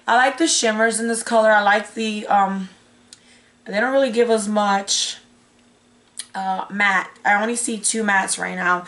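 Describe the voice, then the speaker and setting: A young woman hosts a casual unboxing vlog in a hoarse, raspy voice at a medium pitch. She thinks aloud and breaks off her own sentences in a chatty way. Her voice sounds like it is giving out. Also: fairly bright tone. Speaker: beauty vlogger host. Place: small indoor room with curtain backdrop.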